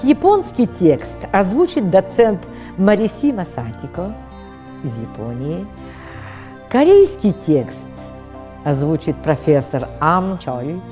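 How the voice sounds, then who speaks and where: A woman giving a formal, deliberate spoken presentation. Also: lowish voice, warm tone. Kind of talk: spoken presentation introducing a cartoon project. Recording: echoey hall, music behind.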